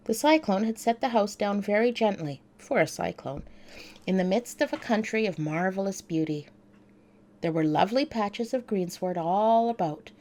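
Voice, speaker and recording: Female narrator for bedtime stories: soft mellow tone, highly varied intonation, medium pitch. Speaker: storyteller.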